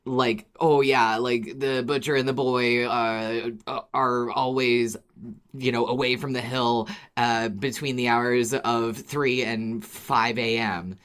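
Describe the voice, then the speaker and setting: Woman podcast co-host, strikingly low voice, casual and expressive, repeats words to drive a point home. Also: slow. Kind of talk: casual chat about an audio drama.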